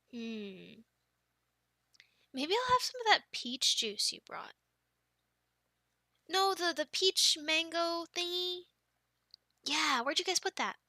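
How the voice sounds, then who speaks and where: A young woman with a high, very bright voice performs ASMR roleplay. She has a playful, girlish delivery, recorded close and dry. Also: no room sound. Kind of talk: ASMR roleplay, whispered storytelling and casual chat.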